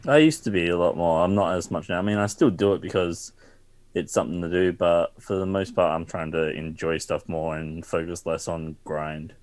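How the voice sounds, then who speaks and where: An Australian man talks on a gaming podcast in a very low voice. He sounds laid-back and dry, and the recording is close and free of room echo. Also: fairly even intonation, moderate pace, a little mellow. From South Australia. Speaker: podcast guest. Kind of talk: casual podcast chat.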